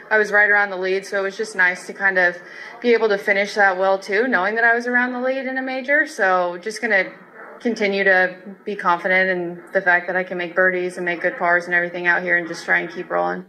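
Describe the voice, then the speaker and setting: A female athlete answering casually in a post-round interview. Her voice is bright and quick, with very little rise and fall, and her manner is understated. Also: mid-range voice, level tone, poor audio. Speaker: professional golfer.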